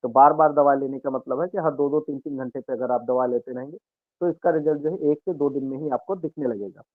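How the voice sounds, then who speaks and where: A man, an Ayurveda health expert, calmly explaining home remedies and speaking directly to listeners on a radio health talk. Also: medium pitch, a little fast, dry recording. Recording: narrow dry radio broadcast sound.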